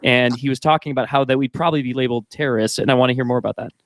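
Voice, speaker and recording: A man's voice: mid-range voice, rapid-fire. A man asking curious questions in a casual group voice chat.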